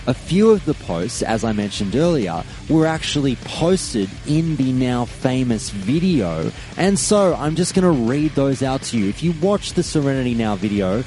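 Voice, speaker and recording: A male podcast host with a mid-range voice that swoops up and down with lots of expression. He speaks in a deliberate, measured way and leans hard on key words. Soft music plays faintly underneath. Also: medium pitch. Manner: measured, deliberate, emphatic delivery. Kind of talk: opinionated podcast monologue.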